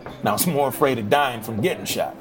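A man's voice: mid-range voice, brisk, close to an everyday voice. A man acting a friendly, courteous Civil War era bartender in a period drama, polite and inquisitive, with music underneath. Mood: earnest.

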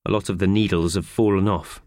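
A man's voice: sits low, fairly even intonation, brisk, darkish tone, no echo, studio quality, natural voice. A man voicing a flustered, exasperated, put-upon office worker in a children's storybook, protesting hesitantly. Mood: glum.

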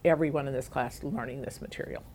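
A woman educator speaking earnestly and in a measured way in an interview about higher education. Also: darkish tone, slight background noise. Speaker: educator guest. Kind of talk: conversational interview.

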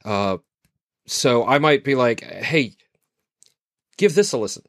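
A male podcast host talks in an easy conversational way, often answering with short mm-hmms. His voice is mid-pitched, and the sound is dry and close. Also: animated, takes it slowly, fairly bright tone, no echo. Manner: friendly, frequent backchannel mm-hmms. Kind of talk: casual conversation.

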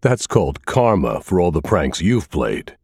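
A man voicing a feisty, teasing female police detective in an audiobook, brisk, blunt and wry. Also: sits low, big pitch swings, a little mellow, breathy edge, no room sound, clean studio sound, exaggerated voice. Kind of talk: audiobook character dialogue.

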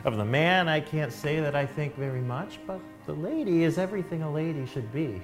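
A man's voice: fairly high pitch, brisk, over music, natural voice. Man acting a prim, pompous clergyman ghost. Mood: formal, confiding. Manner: formal, pompous. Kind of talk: dramatic reading of a period comic story.

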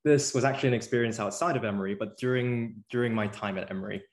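A young man from South Korea, a guest on an interview podcast, answering in a relaxed, thoughtful way with frequent fillers and restarts. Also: fairly low pitch, fast talker. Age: young adult.

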